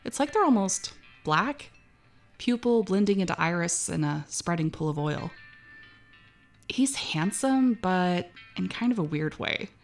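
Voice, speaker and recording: A woman's voice: fairly low pitch, animated, a little slow, soft background music. A woman playing an uneasy, wry young female cashier, narrating an audio drama in a wary, conversational first person. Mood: wary fascination.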